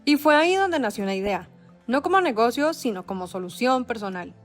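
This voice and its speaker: A woman narrating a documentary script in a measured, dramatic storytelling cadence. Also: a little high, lively intonation, faint music behind.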